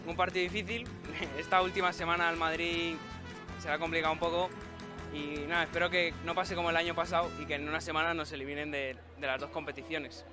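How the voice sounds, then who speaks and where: A man's voice: a little high, little pitch movement, quick pace, music in the background. A man, a football fan, answering casually in an outdoor street interview.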